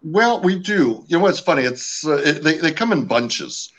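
A man's voice: a little high, big pitch swings, normal speed, fairly bright tone, husky, low-quality recording. A man from California, raised speaking French at home, talking about his company's products in a casual interview, with many fillers and restarts. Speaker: company representative.

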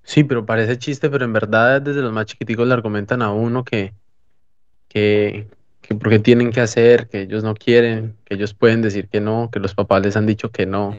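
A man's voice: lowish voice, flat delivery, normal speed, no echo, dull audio. A Colombian man co-hosting a podcast, chatting casually in a colloquial, joking way. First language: Spanish. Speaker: podcast co-host. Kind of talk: casual chat.

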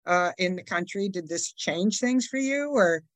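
An interviewer asking a guest questions in a curious way, with quick follow-ups. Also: medium pitch, animated.

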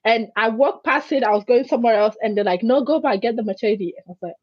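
A woman whose first language is Greek, a guest in an interview who tells stories in an animated way and acts out the voices she quotes. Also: fairly high pitch, fast, slightly rough, no room sound, highs cut off. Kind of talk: conversational interview.

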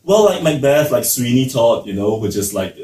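A man's voice: mid-range voice, sing-song, moderate pace, warm tone. Roomy, distant male show host. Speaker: host and singing teacher. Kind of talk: casual conversational interview. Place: music academy room. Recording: roomy sound from a distant mic.

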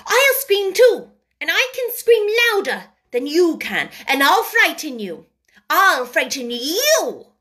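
A savage little storybook girl, acted out by a woman, her voice pitched high and swinging sharply. She scolds and gives orders fiercely, hammering each word home like a small, cross tyrant. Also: sing-song, slow pace, pushed and bright, heavily acted. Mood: fiercely threatening. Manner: scolding, commanding, emphatic.

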